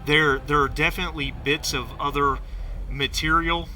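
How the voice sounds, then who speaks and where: A man narrating a tabletop role-playing game as game master, describing scenes in a halting, improvised way. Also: fairly high pitch, steady tone, pushed and bright. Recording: distant mic with clear background noise.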